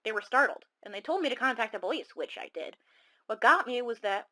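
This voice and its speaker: Casting: actress as haunted female caller, radio horror drama, mid pitch, confiding and dramatic. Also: a bit bright, lightly acted, low-quality recording. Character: shaken young woman caller recounting a monster encounter. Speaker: caller telling her story. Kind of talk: first-person horror storytelling, radio show audio drama.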